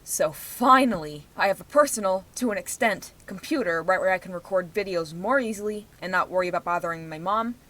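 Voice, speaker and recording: A woman's voice: on the low side, brisk, few pauses, a bit bright, low-quality recording. A young adult woman giving rambling personal commentary in a vlog, speaking in an emphatic, outspoken way. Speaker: vlogger.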